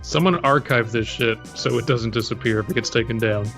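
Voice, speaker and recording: A man's voice: medium pitch, fairly quick, fairly bright tone, soft background music. A man co-hosting a podcast in blunt, sweary casual chat. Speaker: podcast co-host.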